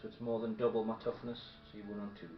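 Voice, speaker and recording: A man's voice: lowish voice, flat delivery, rapid-fire. A man chatting casually and explaining tabletop game rules, recorded in a room away from the microphone. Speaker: tabletop war game player. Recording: distant, muffled, echoey room with faint noise.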